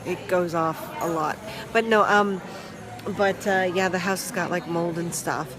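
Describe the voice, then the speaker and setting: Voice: mid-range voice, fairly even intonation, a little slow, over music. A vlogger from Toronto, chatting casually with live viewers from a flea market stall, rambling with lots of restarts and trailing asides. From Toronto, Canada. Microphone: handheld phone. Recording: busy outdoor market crowd.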